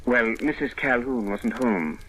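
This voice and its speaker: An actor playing a stiff, formal British colonial police commissioner in an old radio drama, with a clipped, pompous manner. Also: male voice, medium pitch, a little slow, a bit bright, natural voice. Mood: dry, reproving. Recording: slightly noisy old radio recording.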